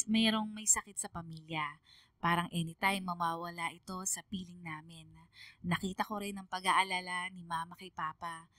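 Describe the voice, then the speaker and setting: A woman's voice: medium pitch, pushed and bright, no echo, clean studio sound, close to an everyday voice. A woman playing a sentimental young Filipino daughter who narrates her letter in a heartfelt, confiding way for a radio drama.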